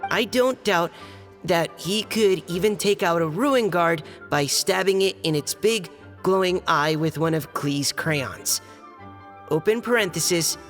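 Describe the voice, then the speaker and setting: A dutiful young knight reads a long letter aloud in a slow, deliberate way. His pitch sits in a middle range, and the hall gives a long reverb under faint music. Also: fairly bright tone. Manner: careful, formal reading, halting when flustered. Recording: game cutscene with reverb and music.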